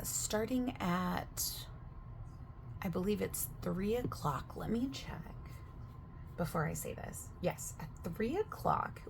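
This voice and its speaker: A woman speaking softly in an ASMR roleplay as a gym staff member, chatty and overexplaining, often dropping into a whisper partway through a sentence. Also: mid-range voice, gravelly, a little hiss or hum, low-quality recording. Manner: soft-spoken, slipping into whispers mid-sentence. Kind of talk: soft-spoken ASMR sales roleplay.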